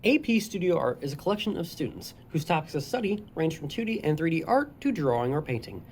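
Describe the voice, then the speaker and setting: A teenage narrator reading a school news feature from a script. The delivery is quick and brisk, and faint ambient noise sits behind it. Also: medium pitch, big pitch swings, a little mellow. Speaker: student reporter.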